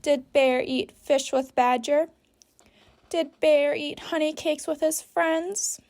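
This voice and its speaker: A woman's voice: high-pitched, fairly even intonation, slow pace, a little mellow. A woman teacher guiding young children through a story activity step by step, patiently, with simple sentences and guiding questions. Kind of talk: instructional read-aloud for young children, step-by-step.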